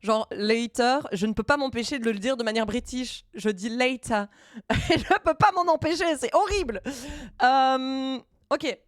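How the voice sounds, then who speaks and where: A woman streamer chatting casually while she codes live, thinking aloud in halting bits and dropping in English coding terms. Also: high-pitched, no room sound, clean studio sound. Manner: thinks aloud, halting, many fillers and restarts.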